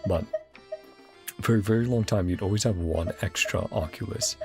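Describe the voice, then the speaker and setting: A man's voice: deep voice, normal speed. A man giving a casual, rambling game playthrough commentary, musing as he goes and easily amused. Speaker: gaming content creator. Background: game music.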